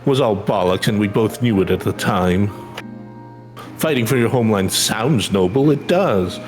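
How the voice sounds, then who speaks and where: A man's voice: lowish voice, expressive, moderate pace, darkish tone, faint music behind, lightly acted. A man voicing a proud, guarded widowed mother from a video game, blunt and colloquial. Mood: bitter. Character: bitter, proud widowed mother of a young girl. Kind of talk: reading video game dialogue in character.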